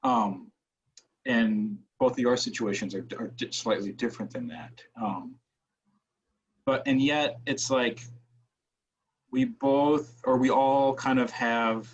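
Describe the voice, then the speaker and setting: An American man from Wisconsin talking thoughtfully on a panel, haltingly searching for his words. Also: mid-range voice. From Wisconsin, United States. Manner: halting, searching for words, frequent checking "right". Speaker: academic panelist. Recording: dull, muffled audio.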